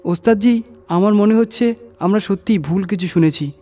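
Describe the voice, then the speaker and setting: A man's voice: sits high, moderate pace, dark tone, faint music behind, pitched above the performer's own voice. A man voicing a frightened, superstitious young truck hand who speaks urgently and deferentially to his boss in a horror audio story. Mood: uneasy. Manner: alarmed, urgent, addressing his boss respectfully.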